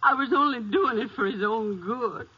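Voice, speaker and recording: A woman's voice: fairly high pitch, moderate pace, sharp tone, breathy edge. A woman playing a distraught, tearful, guilt-ridden country daughter in a 1950s radio western. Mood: defensive, upset. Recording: muffled 1950s radio recording.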